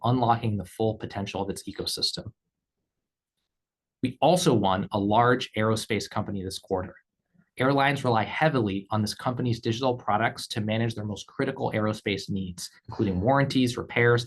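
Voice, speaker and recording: A man speaking as a company executive, reading scripted earnings call remarks in a measured, formal way. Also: on the low side. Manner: measured, formal read delivery.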